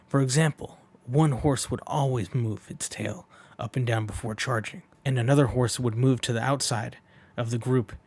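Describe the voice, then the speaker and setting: A man's voice: medium pitch, no room sound. A man hosting a manga read-along in a casual, informal way, with rambling asides between the lines he reads.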